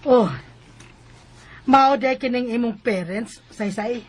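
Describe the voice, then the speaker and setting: An actress playing a reassuring, businesslike unmarried schoolteacher with a Cebuano accent in a radio drama. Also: female voice, fairly high pitch, slow. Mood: greeting. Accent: Cebuano Filipino. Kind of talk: Filipino radio drama acting.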